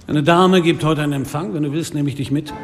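An actor playing a weary, middle-aged police inspector in a radio drama, speaking and narrating in a dry, caustic manner. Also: male voice, a little high, fairly even intonation, a little fast, close to an everyday voice. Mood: wry narration. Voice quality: gravelly. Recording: reverberant, loud music behind.

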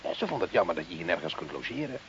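An actor playing an irritable, mocking husband in a radio drama, gruff and dismissive in manner. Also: male voice, low-pitched, big pitch swings, racing. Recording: dull, faintly hissy old radio recording.

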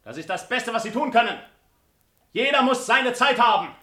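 A haughty young libertine nobleman in a classical radio drama. His high, sharp voice rings in an echoey room with insolent arrogance. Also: lively intonation, moderate pace, exaggerated voice. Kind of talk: classical radio play acting.

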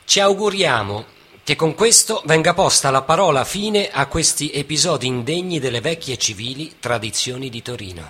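An actor playing a solemn newspaper voice, formally reading out an old-fashioned editorial in a radio play. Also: male voice, medium pitch, lively intonation, normal speed, pushed and bright, husky. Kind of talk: dramatic reading of a press report.

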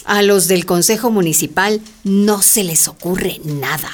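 A woman's voice: medium pitch, measured pace, lightly acted. An actress playing a pragmatic, earnest woman mayor in a radio drama.

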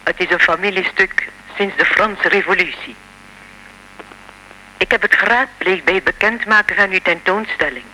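Bright-voiced, mysterious old lady. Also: mid-range voice, normal speed, low-quality recording. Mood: composed, revealing. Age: older. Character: mysterious old lady from southern France, knowing and composed. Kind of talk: radio play acting.

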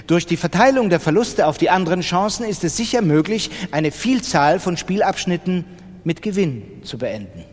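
An actor playing a factual announcer reading out technical instructions in a radio drama. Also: male voice, sits high, expressive, moderate pace. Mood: matter-of-fact. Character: dry male announcer explaining roulette betting systems. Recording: long hall reverb.

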